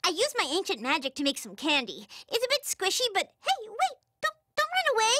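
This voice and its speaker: Casting: young fantasy game heroine, excitable, very high-pitched, dry close studio sound. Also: female voice, a little fast, no room sound, soft background music, full character voice. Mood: flustered. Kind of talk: video game character voice lines.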